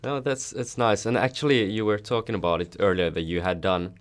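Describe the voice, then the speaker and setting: Very deep-voiced male podcast host. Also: steady tone, fairly quick. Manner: loose, filler-heavy questions. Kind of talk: casual interview chat.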